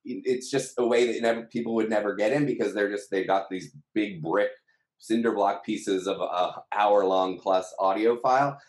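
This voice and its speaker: Low-voiced man in an echoey room. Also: normal speed. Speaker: company team member being interviewed. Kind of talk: conversational explanation. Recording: roomy, echoing sound.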